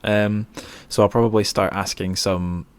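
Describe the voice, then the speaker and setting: A man's voice: on the low side, flat delivery, unhurried, smooth, no echo. A man hosting a casual podcast interview, speaking in an awkward, hesitant, self-deprecating way. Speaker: podcast host.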